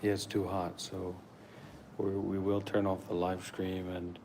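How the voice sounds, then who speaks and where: A man's voice: sits low, monotone, unhurried, a little mellow. An American man chatting casually and earnestly on a live stream, rambling from thought to thought. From Maryland, USA. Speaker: live-streamer at a meditation center. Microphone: phone. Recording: clearly noisy live phone stream.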